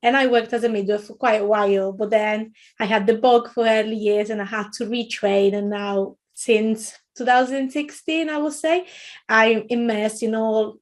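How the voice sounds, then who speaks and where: Higher-pitched Italian-accented woman presenting. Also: fairly even intonation, moderate pace. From Italy. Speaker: webinar presenter, early years educator. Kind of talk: informal educational webinar talk.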